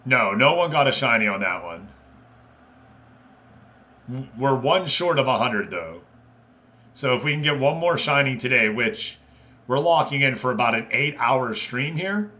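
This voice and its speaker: A man's voice: mid-range voice, expressive, measured pace, pauses often, sharp tone. A man hosting a live game stream, giving casual commentary and chatting informally with viewers. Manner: informal, conversational, addressing viewers directly.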